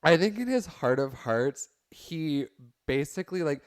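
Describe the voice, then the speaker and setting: A man's voice: a little high, animated, unhurried, smooth, no room sound, clean studio sound. A young adult man chatting casually and comedically as a podcast panelist, often acting out imagined quotes.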